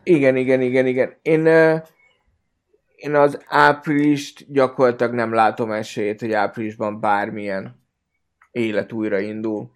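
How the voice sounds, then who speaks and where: Man in halting, casual discussion. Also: medium pitch, fairly even intonation, smooth. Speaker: football fan podcast guest.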